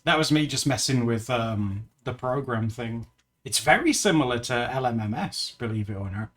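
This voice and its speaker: Male livestreamer, casual chat with viewers, giggly, laughs a lot, middle pitch. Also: animated, moderate pace, a bit bright. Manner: chatty and giggly, with frequent laughs and restarts.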